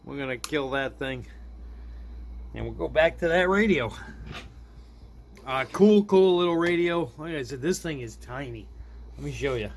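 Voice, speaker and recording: A man giving a casual hands-on gadget demo, informal and often chuckling as he thinks aloud, recorded indoors with background noise. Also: mid-range voice. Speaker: product reviewer. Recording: clearly noisy room recording.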